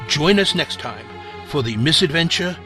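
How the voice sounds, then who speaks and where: A man's voice: a little high, expressive, moderate pace. A man giving a formal closing announcement. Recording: music underneath.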